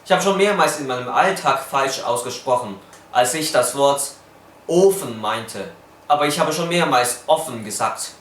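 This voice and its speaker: A man's voice: mid-range voice, lively intonation, normal speed, a bit bright, roomy sound, slight background noise. A young man whose first language is Cantonese, speaking with a Cantonese accent as he explains phonetics in a casual vlog and carefully demonstrates sounds.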